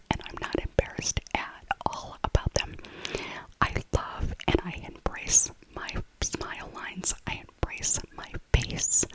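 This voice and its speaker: Whispering middle-aged woman, ASMR chat. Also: low-quality recording. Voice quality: soft unvoiced whisper. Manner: rambling, repeats phrases. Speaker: ASMR vlogger. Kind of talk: whispered ASMR voiceover, casual shopping chat.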